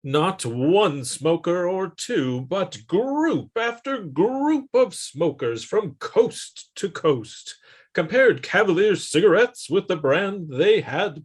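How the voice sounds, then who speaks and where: 1950s ad spot: a man as a measured, emphatic cigarette-ad announcer. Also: husky, full character voice. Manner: slow, emphatic sales pitch.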